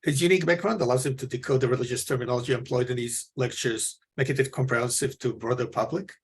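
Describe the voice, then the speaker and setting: Man, mid-pitch and gravelly, earnest forum host introducing a speaker. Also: fast, slight background noise. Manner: earnest, with frequent fillers and restarts. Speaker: forum moderator. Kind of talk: moderator's introduction.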